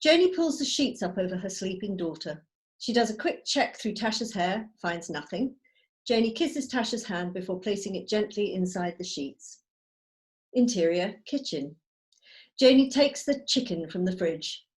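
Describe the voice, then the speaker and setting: A woman reading out screenplay stage directions as measured, storytelling narration. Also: mid-range voice, lively intonation. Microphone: video call.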